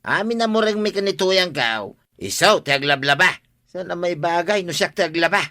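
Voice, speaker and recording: A forceful, mocking macho village husband. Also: male voice, sits high, lively intonation, normal speed, no echo, studio quality, heavily acted. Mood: boastful, indignant. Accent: Ilocano. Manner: mocking, exclaiming. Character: teasing, lazy, boastful macho husband from next door. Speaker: radio drama actor. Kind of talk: Ilocano radio comedy drama acting.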